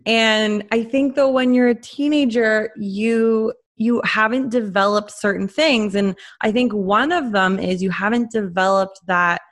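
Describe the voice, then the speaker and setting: A woman's voice: fairly high pitch, a little slow, few pauses. Encouraging female interviewer. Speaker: interviewer, podcast host. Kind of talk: casual interview chat.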